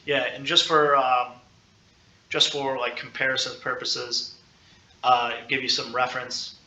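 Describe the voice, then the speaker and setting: A male sports podcast host with a very bright, punchy voice. He talks casually and playfully, teasing and hyping up his guests. The sound is noticeably roomy. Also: mid-range voice, flat delivery, a little slow. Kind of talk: casual sit-down sports interview.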